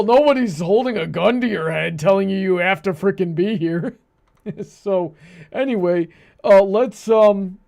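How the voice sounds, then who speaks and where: A male livestream host with a fairly high voice and plenty of gravelly creak. He chats casually with his audience and co-host. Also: dark tone.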